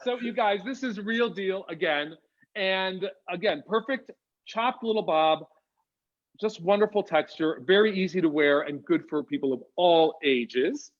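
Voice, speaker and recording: A man's voice: high voice, measured pace, highs cut off. A man with an American accent co-hosting a casual live wig demo over a video call, chatty and upbeat, greeting viewers by name.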